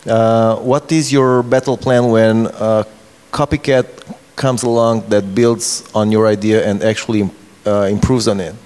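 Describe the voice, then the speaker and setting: Lowish male interviewer in a reverberant hall. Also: steady tone, a little slow, darkish tone, smooth. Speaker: interviewer, Q&A moderator. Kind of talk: casual conference interview. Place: indoor conference stage. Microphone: handheld microphone.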